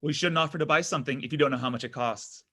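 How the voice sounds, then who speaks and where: Insecure boyfriend, thirties, male actor, lightly high-pitched, conversational comedy delivery, presses for reassurance. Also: very fast, a bit bright. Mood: suspicious. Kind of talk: comedy pilot table read.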